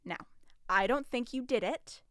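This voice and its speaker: A woman's voice: a little high, measured pace, a bit bright, no room sound, studio quality. A woman playing an earnest, guarded police interrogator in an audio drama, with a firm, businesslike and strained manner. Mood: firm, probing.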